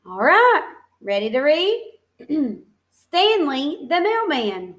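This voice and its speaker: A woman with a distinctly high voice reads a story to young children in the manner of a teacher. She is expressive and encouraging, stepping out of the text to explain in simple words and put questions to her listeners. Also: slow. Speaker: teacher reading a picture book to young children.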